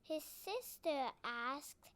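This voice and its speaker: A child reading a picture book aloud carefully, phrase by phrase, with pauses. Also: sits high, animated, a bit bright, dry recording. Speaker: storybook reader.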